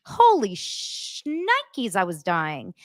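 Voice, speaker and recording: Animated gossipy woman host. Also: mid-range voice, drawn-out words, no echo, clean studio sound. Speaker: host of a daily pop culture show. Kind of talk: casual chat to camera.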